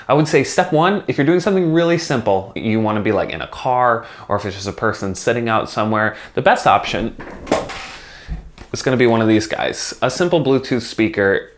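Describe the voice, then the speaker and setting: A man's voice: on the low side, expressive, fairly quick. A man talking casually to camera as a video host, explaining things in an informal, conversational way. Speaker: video host, music video filmmaker. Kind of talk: casual instructional vlog talk to camera.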